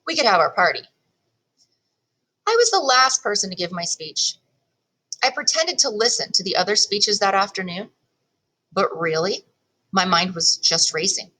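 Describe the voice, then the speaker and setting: A woman reading a children's chapter book aloud in an expressive first-person storytelling style, leaning on key words. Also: medium pitch, low-quality recording. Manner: animated, storytelling delivery with deliberate emphasis.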